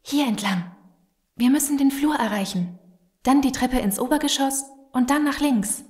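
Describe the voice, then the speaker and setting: Woman playing high-voiced, frightened but resolute young defector. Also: moderate pace, a little mellow, lightly acted. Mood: tense, worried. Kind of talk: German audio drama acting. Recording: echoey room with background noise.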